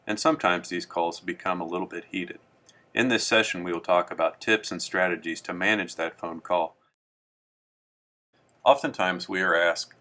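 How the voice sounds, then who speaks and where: A man with a low, clear-edged bright voice presents a training tutorial. He reads from a script in a formal, measured and instructional way, with a forward, crisp tone.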